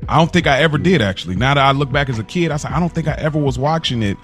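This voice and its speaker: An American man co-hosting a podcast in casual conversation, telling things in an animated, slangy way. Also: mid-range voice, very fast, soft background music. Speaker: podcast co-host.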